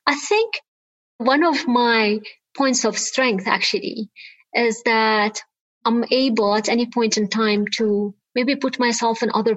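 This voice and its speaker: A woman's voice: on the high side, fairly bright tone, no echo, rough recording. A woman from Lebanon, a guest in a remote interview, speaking earnestly and reflectively and laughing often.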